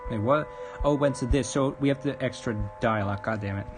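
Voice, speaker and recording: A man's voice: medium pitch, brisk. Guy reading a visual novel on stream, mid voice, stumbles over lines, game music playing behind. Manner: informal, stumbling over read text. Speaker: livestreamer. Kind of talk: reading visual novel narration aloud, casual commentary.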